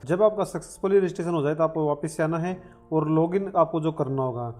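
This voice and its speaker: High-pitched man giving instructions. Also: fairly quick, a little mellow. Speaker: online form tutorial presenter. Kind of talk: step-by-step screen tutorial narration.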